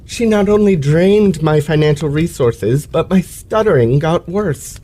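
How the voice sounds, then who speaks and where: A man's voice: fairly high pitch, animated, measured pace, mellow. A man playing a pompous, self-pitying male lecturer with florid, precise elocution in an old-fashioned radio play. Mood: aggrieved, theatrical.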